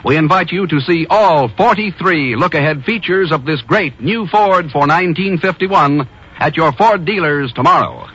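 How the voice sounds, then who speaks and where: A man with an American accent announcing and pitching sponsors in a polished, emphatic 1950s radio style. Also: expressive, normal speed, muffled recording. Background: music.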